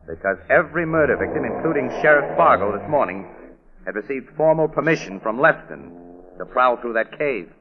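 An actor playing a tough, shrewd male crime photographer, brisk and slangy, in an old radio drama. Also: mid-range voice, expressive, noisy background, natural voice. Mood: probing. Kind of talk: 1940s radio drama acting. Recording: tinny, band-limited old radio recording.

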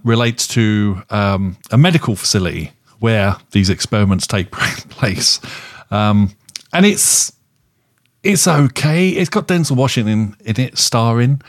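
A man casually reviewing films as a podcast co-host, rambling and halting as he circles back over his phrases. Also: medium pitch. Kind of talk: casual film review chat.

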